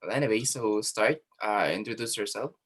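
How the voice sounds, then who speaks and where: A man's voice: fairly low pitch, normal speed. A male teacher with a Filipino accent running an online class over a video call, chatting loosely and mixing two languages with many fillers. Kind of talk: online class, casual instructions.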